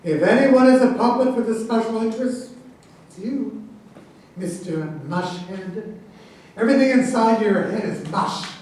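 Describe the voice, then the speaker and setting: Echoey, high-voiced bombastic president played by a man. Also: a little slow, darkish tone. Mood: scornful. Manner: pompous, taunting. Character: bombastic, boastful, insult-slinging incumbent president in debate. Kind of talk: staged play reading, satirical. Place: live staged reading in an echoey room. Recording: echoey room, distant mic, faint noise.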